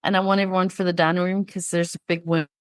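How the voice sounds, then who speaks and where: A woman's voice: fairly low pitch, level tone, quick pace, smooth, no echo, studio quality. A woman co-hosting a podcast in casual chat, stuffed up from a cold, chatty and telling stories. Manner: chatty, storytelling. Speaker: podcast co-host.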